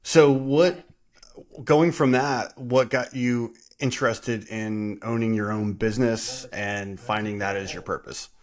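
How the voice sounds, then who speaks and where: A man's voice: on the low side, fairly even intonation, a little slow. A man in his forties hosting an interview in a friendly, conversational way over a video call. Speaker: podcast host. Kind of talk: casual interview.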